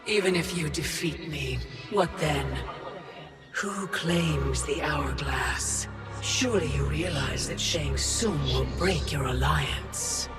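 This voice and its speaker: A woman voicing a cold ancient female keeper of time, speaking in a slow, measured, imperious way in a video game cutscene. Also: sits low, music in the background. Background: loud orchestral score.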